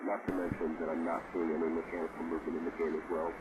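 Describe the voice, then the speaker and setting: Guy acting a maintenance worker on a walkie, real low voice, matter of fact, lots of static noise, horror tape. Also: completely flat delivery, rapid-fire. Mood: procedural, troubled. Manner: matter-of-fact, technical, procedural. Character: male park maintenance worker on dispatch radio, procedural. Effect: two-way radio. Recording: noisy radio transmission.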